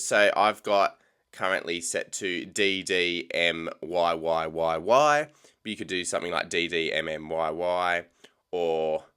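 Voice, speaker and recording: Low, bright-toned Australian man explaining. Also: clean tone. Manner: casual explaining with frequent ums. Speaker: tech tutorial host. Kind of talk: screen-recorded software tutorial.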